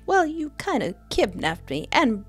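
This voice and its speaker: Woman as blindfolded captive in horror game: raised pitch, frightened yet indignant, exclaiming. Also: big pitch swings, measured pace, breathy edge, full character voice. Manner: high, exclaiming. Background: game music.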